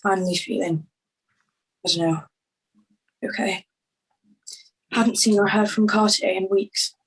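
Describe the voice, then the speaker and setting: Woman playing a shaken young witness, husky voice, halting, grieving, screenplay drama read. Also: medium pitch, steady tone, low-quality recording. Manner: halting, faltering delivery.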